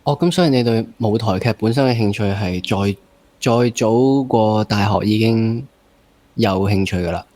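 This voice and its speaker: A man's voice: fairly low pitch, normal speed, a little mellow, a little hiss or hum. A man with a Hong Kong Cantonese accent hosting a casual interview, with a joking, teasing way of talking. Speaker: interview show host.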